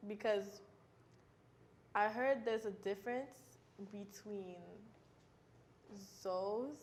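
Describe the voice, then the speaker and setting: Teen girl, inquisitive co-host, anecdotal, mid pitch. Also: very slow, a little mellow. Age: teens. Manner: inquisitive, tells small anecdotes. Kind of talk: casual chat.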